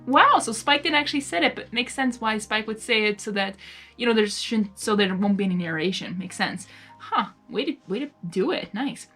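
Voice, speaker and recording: A woman's voice: mid-range voice, expressive, moderate pace, sharp tone, faint music behind. A woman narrating a story informally as an amateur reader, dropping in spontaneous casual asides and stumbling now and then. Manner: reacts spontaneously while reading, stumbles over words. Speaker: fan fiction reader, narrator.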